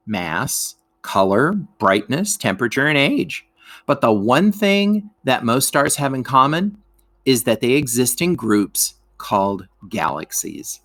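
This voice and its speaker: A man's voice: medium pitch, a bit bright, no room sound. A man reading a scripted educational lesson aloud for children, explaining things expressively and speaking directly to his young listeners.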